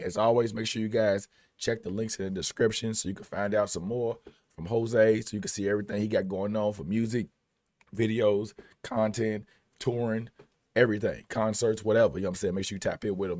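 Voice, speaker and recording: A man's voice: on the low side, fairly even intonation, fast talker, a little mellow, no echo. A man in his thirties with a Kentucky accent, losing his voice, giving a laid-back reaction to a song from a small home studio. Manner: laid-back, slangy, many "you know what I'm saying". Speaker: music reaction host. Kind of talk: casual reaction commentary.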